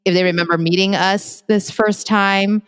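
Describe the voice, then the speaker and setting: A young adult woman co-hosting a podcast, reflecting on family memories in an unhurried, casual way. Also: medium pitch, steady tone, a bit bright, clear, resonant tone, big-room sound. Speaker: podcast co-host. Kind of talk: casual chat.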